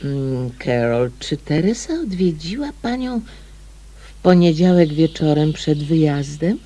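An actress playing a polite, inquisitive amateur sleuth in a radio mystery, probing courteously with small hesitations. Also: female voice, low voice, animated, measured pace, mellow. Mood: politely probing. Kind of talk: radio mystery drama acting.

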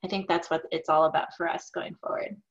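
An upbeat woman in her forties answers questions over a webcam from a home office. Her voice sits a little low. The call audio is dull and lo-fi, and she talks warmly with the odd "uh" between thoughts. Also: flat delivery, brisk. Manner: upbeat, thoughtful, with fillers and laughs. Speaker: small business owner, keynote guest. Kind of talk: conversational Q&A answers. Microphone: video call, webcam.

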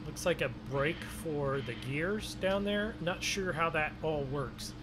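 Man, gaming host, fairly high voice, unhurried pace, folksy exclamations, casual role-play commentary. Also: noisy background. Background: game vehicle and ambience sounds.

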